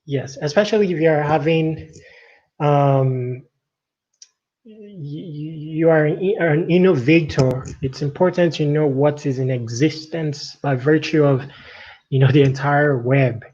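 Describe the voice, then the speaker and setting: Man with halting delivery, dull lo-fi sound. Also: a little high, a little mellow. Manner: halting, rambling, frequent verbal tags. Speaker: livestream host. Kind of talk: casual tech news commentary to viewers.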